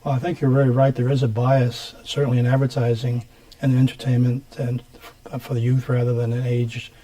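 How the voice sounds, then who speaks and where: Mellow-voiced man hosting a TV interview. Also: mid-range voice, little pitch movement, brisk, rough recording. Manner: rambling questions, frequent mm-hmms. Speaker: TV show host. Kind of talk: studio interview. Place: TV studio.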